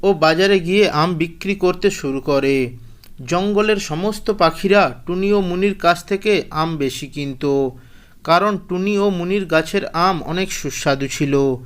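A man's voice: sits high, a little slow, clean tone. A man narrating a scripted children's bedtime story as the storyteller of a cartoon.

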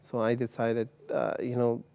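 Halting, mellow-voiced man. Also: medium pitch, completely flat delivery, gravelly, clear, resonant tone, dry recording. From Uganda. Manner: halting, many fillers and restarts. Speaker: guest. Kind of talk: telling his life story in a TV interview. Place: TV studio set. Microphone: lapel mic.

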